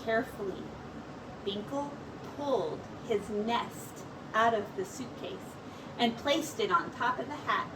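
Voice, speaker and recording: A woman reading a children's story aloud as a teacher, with expressive narration. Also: fairly high pitch, unhurried, far from the mic, noisy background.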